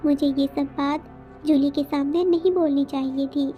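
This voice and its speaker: A woman's voice: high voice, steady tone, normal speed, mellow, faint music behind, natural voice. A woman voicing an anxious, tender widowed mother bird in a children's cartoon, pleading and earnest. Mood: worried, sorrowful. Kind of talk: Hindi children's cartoon dialogue.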